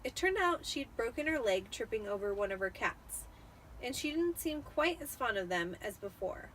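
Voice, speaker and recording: A woman with a fairly high voice reads a story aloud with dramatic flair. She sounds tipsy, and there is faint noise behind her. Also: moderate pace, distant mic, rough recording. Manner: theatrical, expressive. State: tipsy from wine. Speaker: amateur storybook reader.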